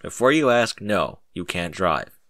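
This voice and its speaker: A man's voice: deep voice, sing-song, measured pace, a bit bright, no echo, clean studio sound, full character voice. A man voicing a cool, sly, proud army captain in a story, speaking in a matter-of-fact way. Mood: flat.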